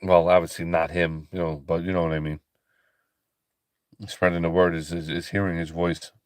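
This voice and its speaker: A tired man hosting a late-night ministry livestream, talking slowly and softly with a calm, relaxing voice, with many pauses and restarts. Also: deep voice, dry recording, clean studio sound. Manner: slow, halting, soft-spoken, many fillers and restarts. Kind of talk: casual late-night livestream chat with viewers.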